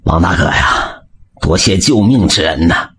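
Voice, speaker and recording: An earnest village butcher from an audio storybook, speaking low and heartfelt, as a man puts him on. The voice is deep-set and heavily raspy. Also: expressive, a little slow, fairly bright tone, exaggerated voice. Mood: grateful.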